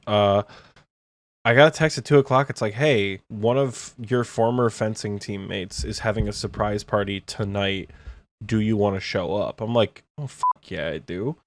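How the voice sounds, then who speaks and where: A man in his twenties co-hosting a podcast, tired and joking in casual chat. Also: on the low side. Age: 20s. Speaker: podcast co-host.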